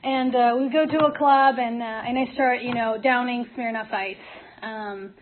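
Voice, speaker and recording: A woman from Morocco giving a personal testimony as a speaker at a support group, in a halting, hesitant manner with repeated false starts. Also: fairly high pitch, steady tone. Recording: tinny phone-like audio, clear noise, clicks.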